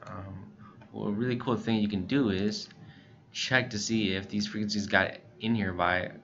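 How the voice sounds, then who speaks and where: Low-voiced man, muffled noisy recording. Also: a bit bright. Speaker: tutorial presenter for a scanner retailer. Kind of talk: casual software walkthrough. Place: indoors, voice-over for screen recording. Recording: muffled, lo-fi with clear background noise.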